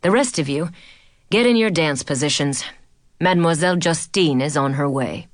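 A bored, weary French dance teacher scolds his pupils in a formal, tired way, as a woman reading the storybook puts him on. The voice sits deep and low, dry and close. Each word lands with drawn-out fatigue. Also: dry recording, lightly acted. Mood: stern.

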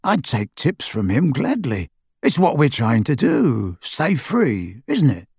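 A performer voicing a mild, easygoing man on the run with a soft, wry way of talking, in an audiobook. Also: medium pitch, wildly swooping pitch, slow pace, breathy edge, dry recording, studio quality, heavily acted. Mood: admiring.

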